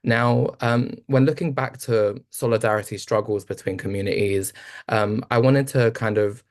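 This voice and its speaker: A man's voice: lowish voice, fairly even intonation, moderate pace. A man speaking on a panel, talking through history with many fillers and restarts. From Albanian family from Kosovo. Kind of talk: panel discussion talk.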